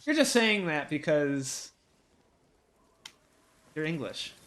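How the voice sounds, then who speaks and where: Higher-voiced rambling man. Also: big pitch swings, slow, a bit bright. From Ohio, USA. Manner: rambling, hesitant, many fillers and restarts. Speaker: co-host. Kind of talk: casual gameplay chat.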